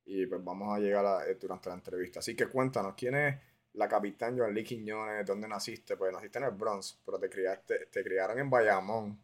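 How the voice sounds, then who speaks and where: A man's voice: on the low side, steady tone, normal speed. A man with a Puerto Rican accent hosting a casual podcast interview, informal and dropping English words into his Spanish. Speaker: podcast host.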